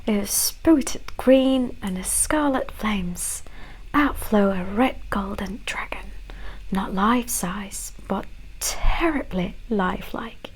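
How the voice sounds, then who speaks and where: Slow whispering woman reading a story. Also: medium pitch, rough recording. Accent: mixed British and Canadian. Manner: gentle, hushed, unhurried delivery. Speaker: ASMR storyteller.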